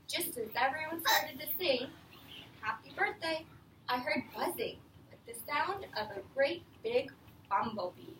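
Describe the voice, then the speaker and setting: Animated, high-voiced woman reading to young children. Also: measured pace. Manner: expressive, encouraging, patient with children. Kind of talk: animated picture-book read-aloud with questions to children. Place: indoor room with a group of young children. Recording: roomy, distant mic, faint background noise.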